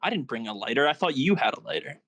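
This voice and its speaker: Man playing a jittery, animated young stoner. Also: mid-range voice, quick pace. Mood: worried. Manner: worried, animated, exclamatory. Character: anxious young male stoner sidekick, jittery and cautious. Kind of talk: comedy screenplay table read.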